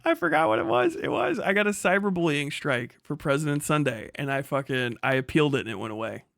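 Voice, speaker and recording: A man's voice: fairly high pitch, very animated, fast, creaky, a bit bright, no echo. A man livestreaming casual reaction commentary, swearing freely, mocking and often laughing as he talks. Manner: profane, mocking; laughs often while talking.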